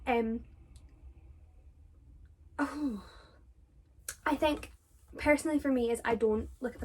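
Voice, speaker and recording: A young woman with a Scottish accent, her voice sitting a little high. She talks casually through viewers' questions, rambling with many fillers and restarts. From Scotland. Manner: chatty, with frequent fillers and self-corrections. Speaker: drama student vlogger.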